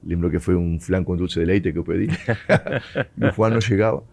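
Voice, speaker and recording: Uruguayan ex-footballer, native Spanish speaker, low voice, storytelling guest. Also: male voice, moderate pace, creaky, a little mellow. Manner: mixes Portuguese and Spanish, laughs often. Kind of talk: storytelling interview.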